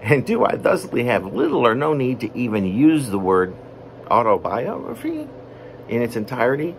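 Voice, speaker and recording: A man reading his own poetry as a rambling, wordy monologue, deliberate and pausing mid-phrase. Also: mid-range voice, wildly swooping pitch, a little hiss or hum. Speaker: poet reading own work.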